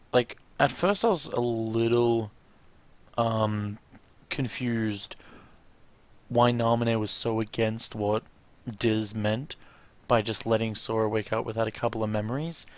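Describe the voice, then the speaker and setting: A man's voice: medium pitch, steady tone, measured pace, pauses often. A man hosting a watch-along, giving casual, rambling commentary and often correcting himself. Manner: rambling, self-correcting, exclamatory. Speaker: let's watch host. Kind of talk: casual reaction commentary, reading text aloud.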